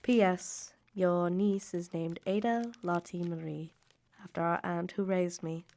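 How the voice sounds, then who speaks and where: Wounded Victorian little sister, low and creaky, formal letter-reading for radio drama. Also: female voice, fairly even intonation, dark tone. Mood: reproachful, resigned. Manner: formal, measured, emotive period diction.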